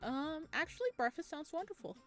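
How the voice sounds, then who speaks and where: A woman reads as an awkward, polite traveler in a visual novel, hesitant yet easily delighted. The voice is on the high side. Music sits underneath. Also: animated, a little fast.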